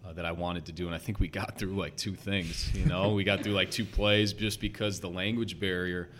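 A young man with a slight Chicago accent, a basketball head coach talking earnestly and quickly in a casual podcast interview, with lots of fillers. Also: low-pitched, little pitch movement, a bit bright, slight background noise. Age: young adult. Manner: earnest, talks fast with many fillers.

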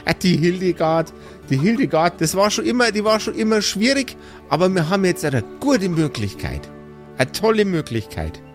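Ein Mann als nörgelnde bairische Zwergenmutter. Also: male voice, high voice, very animated, moderate pace, soft background music. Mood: eager. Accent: Bavarian. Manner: nagging, earthy, sarcastic. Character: gruff, scolding old dwarf mother.

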